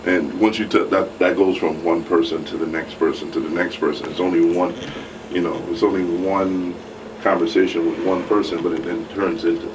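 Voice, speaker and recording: Male radio co-host, low register, casual and halting delivery; off-mic and noisy. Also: flat delivery. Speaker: radio talk show co-host. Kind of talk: casual live radio talk. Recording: distant mic, clearly noisy background.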